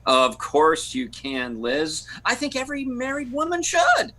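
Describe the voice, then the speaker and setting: Guy doing hammy old radio bachelor playboy, slow theatrical delivery, voice swoops all over, easily rattled. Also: extremely high pitch, huge pitch swings, bright, forceful tone, heavily acted. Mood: astonished. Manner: drawn-out, theatrical, stammering when flustered. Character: Corey, dapper melodramatic bachelor playboy, easily flustered. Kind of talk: 1940s radio comedy acting.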